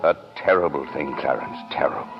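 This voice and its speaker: A man's voice: a bass voice, a little fast, gravelly. A man acting in a radio drama as a heavenly being who tells the story with conversational concern. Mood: grave, concerned. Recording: muffled, long-reverb radio recording with music.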